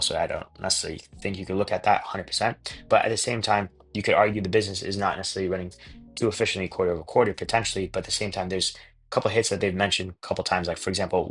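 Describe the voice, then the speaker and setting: A man's voice: low-pitched, a bit bright, breathy edge. A man giving casual financial commentary as a channel host, reading out figures and hedging in a rambling, repetitive way. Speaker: host of a stock investing channel.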